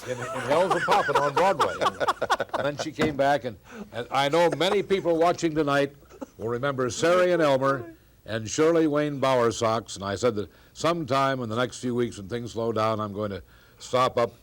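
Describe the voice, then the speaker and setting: Elderly man, radio veteran, moderate pitch, unscripted storyteller in a group of peers. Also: moderate pace. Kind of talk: unscripted storytelling among old colleagues.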